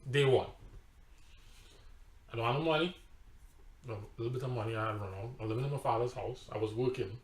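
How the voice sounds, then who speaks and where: A man's voice: mid-range voice, fast talker, pauses often. A pastor with a Trinidadian accent telling a personal testimony in conversation, emphatic and storytelling, sometimes repeating his words. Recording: roomy echo.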